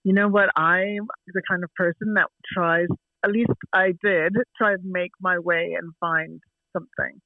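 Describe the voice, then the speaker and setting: A woman's voice: on the low side, fairly even intonation, unhurried, dry recording. Canadian woman in her forties, chatty, laughs a lot, dry tinny phone-line sound. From Canada, Toronto. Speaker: guest. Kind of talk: casual chat over a call.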